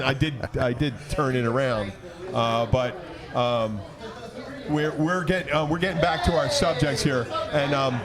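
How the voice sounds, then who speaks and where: Halting, fairly high-voiced male podcast host. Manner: halting, rambling, with repeated starts. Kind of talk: casual comic chat and anecdotes.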